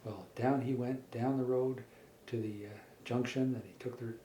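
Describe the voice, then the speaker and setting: A middle-aged man telling a made-up children's story in a halting, folksy way, repeating words as he goes. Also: medium pitch, steady tone, dark tone. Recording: echoey room with faint background noise.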